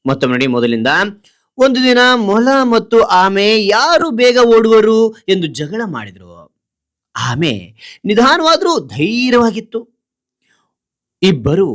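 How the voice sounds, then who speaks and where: Slow, deliberate male teacher. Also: high voice, fairly bright tone. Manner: deliberate, repeats short phrases word by word. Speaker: teacher presenting a children's writing lesson. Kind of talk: slow dictation-style lesson, reading out a story point by point.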